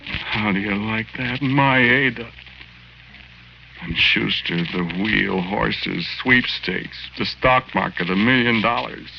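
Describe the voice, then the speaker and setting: An American actor playing the plaintive, vengeful ghost of a petty crook, narrating in plain, slangy 1940s radio style. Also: male voice, lowish voice, slow pace, vocal fry, a little hiss or hum. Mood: mournful. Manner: plain, slangy, ungrammatical tough-guy talk. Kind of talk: 1940s radio acting, first-person narration. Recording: dull lo-fi 1940s radio recording. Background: dramatic music.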